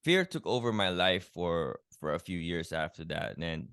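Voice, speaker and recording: Deep-voiced man, earnest and reflective. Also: lively intonation, moderate pace, fairly bright tone, clean tone, dry recording. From Philippines. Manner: earnest, reflective, many "you know" fillers. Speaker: guest, keto health coach. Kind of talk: personal story in a podcast interview.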